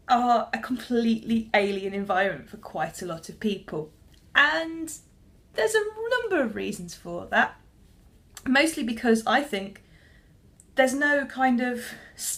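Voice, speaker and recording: A woman's voice: fairly high pitch, fairly bright tone. A young woman, a librarian talking casually to camera in a chatty, self-deprecating way with frequent restarts.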